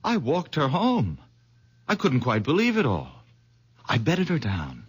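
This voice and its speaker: A man's voice: mid-range voice, huge pitch swings, a little slow, husky, exaggerated voice. An actor playing a nervous, stammering young man who is awed, in a 1970s radio drama. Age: young adult. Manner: stammering, hesitant.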